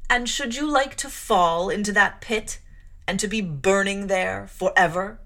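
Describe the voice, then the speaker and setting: A woman voicing a tall, stern clergyman who questions a child slowly and gravely. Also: medium pitch. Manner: slow, loud, grave questioning. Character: stern solemn man, tall clergyman, bass voice.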